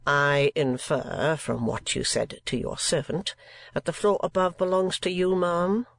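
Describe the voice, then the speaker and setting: A woman voicing a blunt, stern gentleman in a storybook reading, speaking in a clipped, deliberate way. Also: sits low, fairly even intonation, gravelly, no echo.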